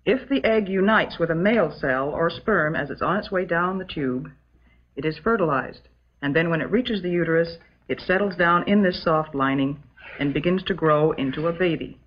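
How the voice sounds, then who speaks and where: An actress playing a calm, motherly substitute teacher with an American accent, giving a measured, patient lesson in an old educational film. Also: lowish voice, natural voice. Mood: patient, instructive. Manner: measured, patient, instructional, prim. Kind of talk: scripted classroom lecture, old educational film. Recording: dull, muffled old educational film soundtrack.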